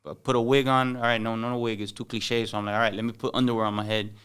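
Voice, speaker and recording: Smooth-voiced Miami Cuban-American man. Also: fairly low pitch, flat delivery, fast, a bit bright. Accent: Miami Cuban-American English. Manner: loose slangy talk mixing in Spanish, many "like"s and "bro"s. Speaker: podcast guest, comedian. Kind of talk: casual chat.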